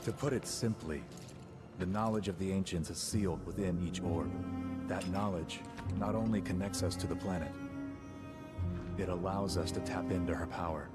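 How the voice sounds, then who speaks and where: An actor playing a composed, aloof veteran soldier in a video game, speaking in a measured, formal, dryly condescending way. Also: male voice, sits low, close to an everyday voice. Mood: measured, musing. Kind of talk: dramatic game cutscene acting. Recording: loud music behind the voice. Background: loud music.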